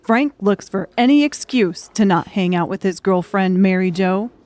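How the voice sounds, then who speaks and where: A woman's voice: medium pitch, animated, moderate pace, darkish tone, no room sound. A woman reading scripted fiction narration as an audiobook narrator.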